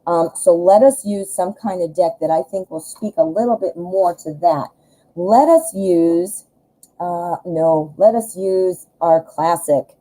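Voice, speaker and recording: A woman's voice: lowish voice, dark tone, poor audio. A woman with a Midwestern American accent giving a casual live tarot reading, conversational and self-deprecating, often rephrasing mid-thought. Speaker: tarot reader, live show host.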